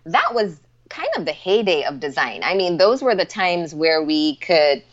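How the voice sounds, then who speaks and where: Guest, female, 40s, from Barbados; relaxed, filler-heavy talk, lower register. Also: normal speed, highs cut off. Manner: chatty, with frequent "you know" fillers and light laughs. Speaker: guest, hand lettering artist. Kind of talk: casual interview chat.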